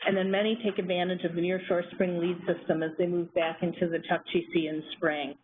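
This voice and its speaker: Soft-spoken woman lecturing over the phone. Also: on the low side, little pitch movement. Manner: soft-spoken, measured, some fillers. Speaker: presenter on marine mammals. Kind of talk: lecture on a conference call. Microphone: phone line. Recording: tinny phone line, faint noise.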